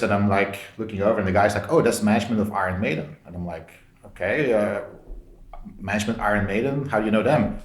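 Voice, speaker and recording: A man with a lowish voice, recorded in a roomy, echoey space. He is a podcast guest from Belgium, telling stories in an informal way and acting out bits of dialogue. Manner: informal, re-enacts dialogue with frequent "like". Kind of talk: casual anecdote-telling.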